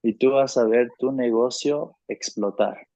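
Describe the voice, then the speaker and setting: A man's voice: mid-range voice, unhurried, dark tone, dry recording. Guy interpreting on a group call, dry muffled audio, mid-range voice. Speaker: interpreter between English and Spanish. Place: online group video call.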